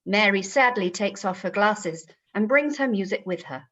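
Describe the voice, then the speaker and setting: A woman's voice: medium pitch, expressive, normal speed, fairly bright tone, dull audio. A woman narrating stage directions for a radio play in a scripted, present-tense style.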